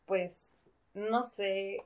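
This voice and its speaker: A woman's voice: medium pitch, fairly even intonation, drawn-out words. A woman co-hosting a casual discussion about literature, explaining things and picking her words carefully. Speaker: podcast co-host.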